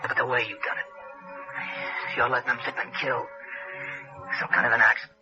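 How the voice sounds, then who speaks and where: An actor playing a dying American convict in a 1960s radio drama, weak and remorseful, speaking haltingly in rough, uneducated phrases. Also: male voice, medium pitch, little pitch movement, natural voice. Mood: remorseful, grateful. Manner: halting, rough uneducated speech, trailing and repeating. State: weak, failing, gasping. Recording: dull old radio recording, faint music.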